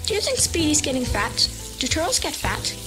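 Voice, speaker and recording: A woman's voice: high-pitched, sing-song, fairly quick, music in the background. A woman voicing a chatty, scatterbrained little schoolgirl in a motion comic, with a childlike, insistent chatter.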